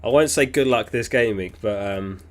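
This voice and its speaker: A man with a somewhat low voice hosts a live stream in a loose, chatty way. He sounds sniffly and keeps clearing his throat. He thinks aloud with easy fillers as he answers viewers. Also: moderate pace. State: sniffly, getting over an illness. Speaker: fantasy football livestream host. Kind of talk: casual livestream chat reading viewer messages.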